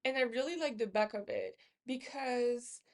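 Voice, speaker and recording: A woman's voice: fairly high pitch, normal speed. Casually chatting woman, non-native English speaker. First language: not English. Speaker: book vlogger. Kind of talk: casual chat to camera about books.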